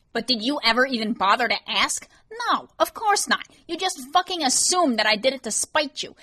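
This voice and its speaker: Brief: bitter swearing male soul eater, read by a woman, pushed high and loud, dry booth sound. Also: no echo. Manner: snarling, defensive, swearing.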